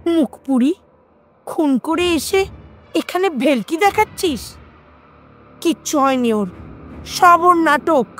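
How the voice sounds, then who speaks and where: A woman playing an agitated, scolding old grandmother in an audio horror story, with a shrill delivery. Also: lively intonation, takes it slowly, long pauses, fairly bright tone, slight background noise, exaggerated voice. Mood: angry scolding.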